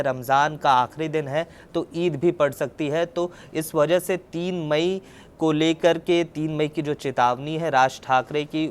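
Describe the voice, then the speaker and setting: Clear-voiced male news presenter, slightly high. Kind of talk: news report with live explanatory commentary.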